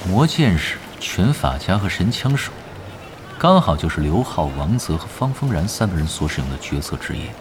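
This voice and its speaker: A man's voice: low voice, big pitch swings, moderate pace, noisy background. An actor playing a patient, teasing veteran gamer who coaches his team in an audio drama. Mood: musing, wry. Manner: instructive, drily teasing. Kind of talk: Chinese radio drama acting.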